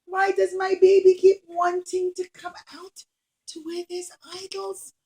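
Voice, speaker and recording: A woman's voice: extremely high pitch, level tone, a little slow, warm tone, voice pushed up. A woman voicing an expectant mother puppet in a children's show, exclamatory and openly emotional. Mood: worried, pleading. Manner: exclamatory, pleading, sighing.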